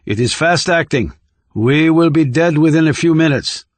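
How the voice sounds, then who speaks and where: A man's voice: on the high side, normal speed, no echo, natural voice. Narrator as a cold, stern male agent. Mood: solemn, zealous. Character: cold, callous, zealous male North Korean agent. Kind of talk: audiobook character dialogue.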